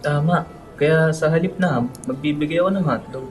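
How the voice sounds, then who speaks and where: A man's voice: on the high side, a little fast, slight background noise, natural voice. A man playing a joking, boastful village guy in a radio drama. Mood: playful, boastful.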